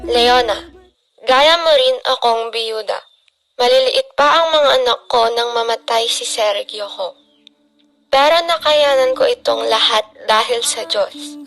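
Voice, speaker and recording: Girl acting creaky-voiced devout Filipino widow. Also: fairly high pitch, a little slow, a bit bright, poor audio. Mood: consoling. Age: teenager. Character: devout, comforting older widow who raised children alone. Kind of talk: student radio drama acting in Filipino. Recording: music behind the voice.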